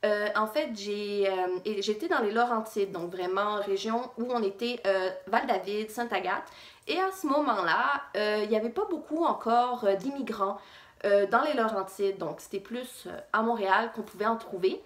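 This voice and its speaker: A woman's voice: medium pitch, normal speed, reverberant. A young woman from Réunion with an accent that mixes French and Québécois, telling a personal story to camera in a casual, hesitant way. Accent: French mixed with Québécois. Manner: conversational, frequent hesitations. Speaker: vlogger.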